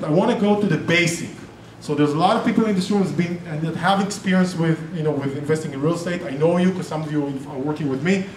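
A middle-aged Israeli-accented man presents a seminar in a chatty, self-correcting way, dropping jokes along the way. His slightly high voice is caught by a distant camera in a roomy, echoing meeting room with a faint hiss underneath. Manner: chatty, self-interrupting, joking asides. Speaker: real estate investing presenter and group organizer. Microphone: far camera.

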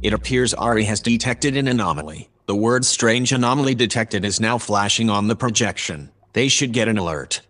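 A man playing a calm observer aboard a spaceship, narrating a sci-fi audio drama in a measured, matter-of-fact way. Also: lowish voice. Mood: calm, factual.

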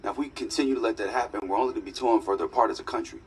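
Guy playing a young football star in a game cutscene, lowish voice, humble n sincere, bit far from mic with music. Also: rapid-fire, a little hiss or hum, close to an everyday voice. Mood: earnest. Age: young adult. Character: sincere, grateful young pro quarterback. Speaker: quarterback character in a story mode. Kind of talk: naturalistic dramatic game acting.

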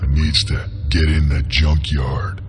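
An actor playing a gruff, terse bounty hunter drifter in a western video game, with a low gravelly growl and a folksy American Western drawl. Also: male voice, flat delivery, unhurried, a little hiss or hum. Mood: stern, dry.

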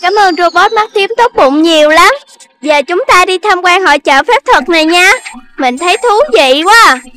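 Very high, bright cartoon animal kid. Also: female voice, lively intonation, moderate pace, exaggerated voice. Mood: cheerful. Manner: exclamatory, childlike. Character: excitable young cartoon animal child. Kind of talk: Vietnamese children's cartoon dubbing.

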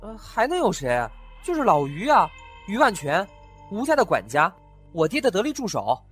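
A man's voice: sits high, huge pitch swings, normal speed, a bit bright, faint music behind, full character voice. A man playing a chatty, naive young master. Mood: curious. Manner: eager, questioning, chatty.

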